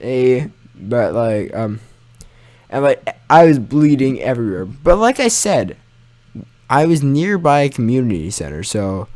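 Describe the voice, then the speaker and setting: A man's voice: medium pitch, sing-song, unhurried, darkish tone. A young man telling a personal story in a casual, informal way, mildly sweary and poking fun at himself. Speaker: gaming channel storyteller.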